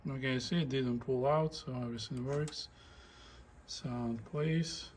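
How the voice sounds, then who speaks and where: Slow, halting man doing a repair demo. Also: medium pitch, steady tone, a little hiss or hum. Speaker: hobbyist demonstrating a tape deck repair. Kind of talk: casual hands-on repair demonstration, talking while working. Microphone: phone held in front of him.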